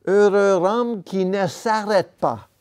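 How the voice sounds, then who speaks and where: A man preaching a sermon in a church, a non-native speaker of the language, talking slowly and deliberately with pauses and self-corrections. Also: sits high, a little mellow. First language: not French.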